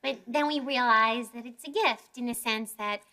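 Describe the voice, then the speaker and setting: A woman's voice: fairly high pitch, normal speed, lightly acted. A woman playing a blunt, firm sitcom wife with a small voice and matter-of-fact delivery. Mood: exasperated. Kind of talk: sitcom acting before a studio audience. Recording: faint studio audience noise.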